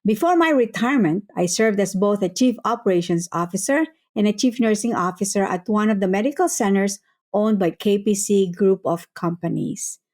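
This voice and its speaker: A woman's voice: mid-range voice, normal speed, a little mellow. Older woman co-hosting a podcast. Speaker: podcast co-host. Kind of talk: polite introduction and interview questions.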